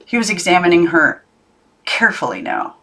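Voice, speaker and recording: A woman reading a children's novel aloud as narrator, in an expressive storytelling style. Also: lowish voice, normal speed. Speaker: audiobook narrator.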